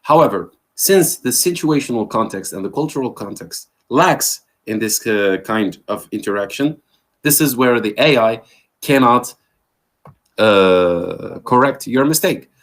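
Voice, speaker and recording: A man's voice: mid-range voice, expressive, a little slow. A man giving an interactive online language lecture as the trainer, quizzing a participant and affirming his answers over a video call. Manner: questioning, affirming with "there you go".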